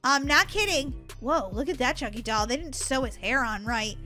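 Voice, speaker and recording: A woman giving excitable game commentary as a video host, in a girlish, dramatic, exclamatory manner. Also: high voice, moderate pace, music in the background.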